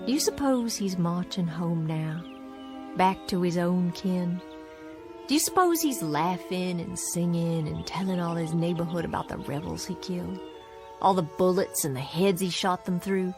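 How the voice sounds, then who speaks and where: An actress playing a bitter, coldly resolute war widow in a radio drama, with a brooding, deliberate, menacing delivery. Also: female voice, lowish voice, animated. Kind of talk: dramatic radio play acting. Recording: studio radio drama with music underneath.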